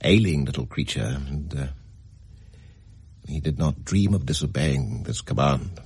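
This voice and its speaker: Very deep, husky, grave storyteller. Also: male voice, expressive, darkish tone, dry recording, exaggerated voice. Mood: grave, sombre. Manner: halting, grave, measured storytelling. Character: hesitant house agent telling a grim local tale. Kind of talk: radio mystery drama acting.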